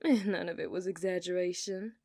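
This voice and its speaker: A woman voicing an obsessive, dominant outlaw cowgirl in a put-on Southern accent, for an ASMR roleplay, teasing and dropping into soft whispers. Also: lowish voice, fairly even intonation, a little fast, no room sound, studio quality. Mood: menacing. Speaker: ASMR performer.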